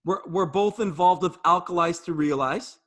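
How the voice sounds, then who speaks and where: A middle-aged man hosts a casual talk in an earnest, reflective way. His voice sits high for a man, and he often circles back over his words. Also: moderate pace, fairly bright tone. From Jamaica, raised in Canada. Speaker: fitness trainer and host. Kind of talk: casual conversational interview.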